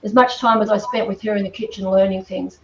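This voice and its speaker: A woman's voice: mid-range voice, steady tone, fast talker. An older woman from Australia with an Australian accent, chatting casually as a podcast guest and telling personal stories. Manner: chatty, reminiscing. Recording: muffled, distant echoey room, faint noise.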